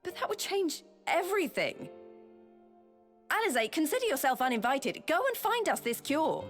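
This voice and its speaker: A woman's voice: high-pitched, sing-song, normal speed. An actress playing a friendly, informal woman soldier in a video game cutscene, talking in a frank, wry and casual manner. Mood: excited, bossy. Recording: music behind the voice. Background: game music.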